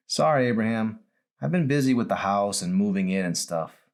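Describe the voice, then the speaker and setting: A man's voice: lowish voice, animated, normal speed, pitched above the performer's own voice. A man reading the lines of a sassy, teasing young female hospital volunteer from a novel. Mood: placating.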